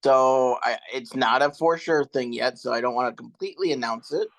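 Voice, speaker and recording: A young man co-hosting a casual sports podcast, relaxed and a little hesitant, trailing off with ums. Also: medium pitch, normal speed, rough recording. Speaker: podcast co-host. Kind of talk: casual chat.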